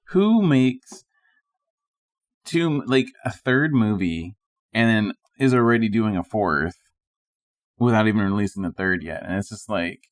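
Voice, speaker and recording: A man's voice: fairly low pitch, dry recording, studio quality. A man co-hosting a podcast, chatting casually in an incredulous manner. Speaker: podcast co-host. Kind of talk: casual chat.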